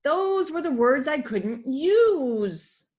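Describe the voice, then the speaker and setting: Woman as an eager, high-pitched little monster girl. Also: sing-song, very slow, a little mellow, exaggerated voice. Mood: exasperated. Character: eager young female pink monster pupil, easily exasperated.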